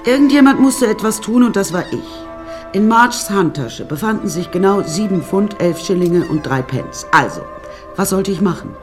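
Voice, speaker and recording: An actress playing a self-possessed young woman who narrates her story in a dry, measured way in a radio drama. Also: medium pitch, lively intonation, music in the background, close to an everyday voice. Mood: wry, composed.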